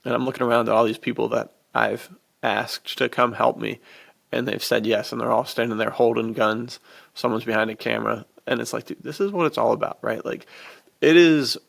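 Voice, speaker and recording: Casual man with a heavily creaky voice. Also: medium pitch, fairly even intonation, brisk. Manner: bro slang, often says dude. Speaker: podcast host. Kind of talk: casual enthusiastic podcast chat.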